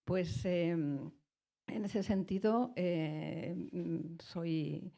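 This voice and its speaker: Mellow-voiced woman musician in thoughtful panel talk. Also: lowish voice, creaky. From Spain. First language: Spanish. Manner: thoughtful, halting, many restarts and fillers.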